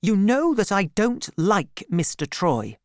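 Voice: mid-range voice, sing-song, slow pace, darkish tone, no echo, studio quality, voice pushed up. A reader voicing a proud, fiery young woman farmer with emphatic, emotional delivery in a storybook. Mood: angry, distressed.

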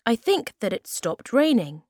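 A woman's voice: on the high side, big pitch swings, unhurried, darkish tone, no room sound, studio quality, pitched above the performer's own voice. Woman voicing a playful, plaintive young storybook creature boy. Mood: playful, teasing. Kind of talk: storybook character voice.

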